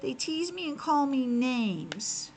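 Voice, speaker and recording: A woman's voice: sits high, big pitch swings, takes it slowly, slight background noise, full character voice. A woman voicing a small, earnest boy piglet in a children's storybook, childlike and plaintive.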